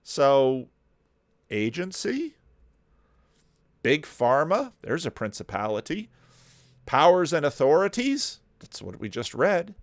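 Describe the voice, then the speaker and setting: A man commenting on a video clip, talking in animated rhetorical questions with slow, deliberate emphasis. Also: a little high, dry recording, studio quality.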